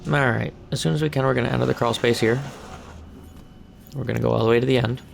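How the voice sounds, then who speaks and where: Hoarse, gravelly male gamer narrating a walkthrough; flat, even delivery. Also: medium pitch, fast, slight background noise. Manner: calm step-by-step instructions. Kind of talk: casual game walkthrough commentary.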